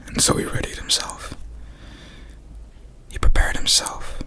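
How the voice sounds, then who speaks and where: A young man whispering ASMR rambles and improvised stories in a hushed, playful way with drawn-out phrasing. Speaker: ASMR video creator.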